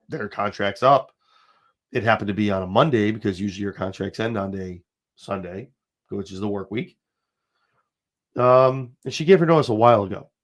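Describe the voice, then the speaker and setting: A man in his forties hosts a casual livestream, his voice sitting a little low and stuffed up from a slight cold. He rambles and pushes his points hard, talking straight to his viewers. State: has a cold, sniffling. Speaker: show host. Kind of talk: casual wrestling commentary livestream.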